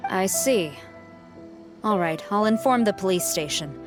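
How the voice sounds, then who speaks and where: A composed, dutiful female officer from a video game, voiced by a woman. She speaks formally at a middle pitch, stern and businesslike. Faint music plays underneath. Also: animated, unhurried, lightly acted.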